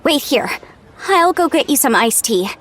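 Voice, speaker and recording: A woman's voice: high voice, sing-song, moderate pace, a bit bright. A woman playing a sweet, caring, attentive young woman in a video game. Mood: concerned. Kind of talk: animated video game voice acting.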